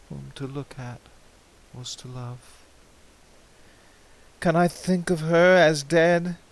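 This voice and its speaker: A man giving a theatrical, impassioned recitation of poetry, swinging between hushed and ringing delivery. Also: fairly high pitch, gravelly, low-quality recording. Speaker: amateur poetry reader.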